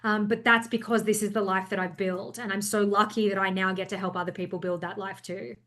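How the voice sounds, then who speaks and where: A young adult woman speaking as a podcast guest in casual chat, passionate and energetic, swearing freely as she talks. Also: medium pitch, brisk.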